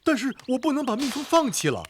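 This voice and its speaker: A man voicing an indignant, insistent beekeeper in an animated folk tale, protesting and calling out. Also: very high voice, fast, a little hiss or hum, pitched above the performer's own voice, full character voice. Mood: indignant, pleading. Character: indignant, pleading male beekeeper, loyal to his bees.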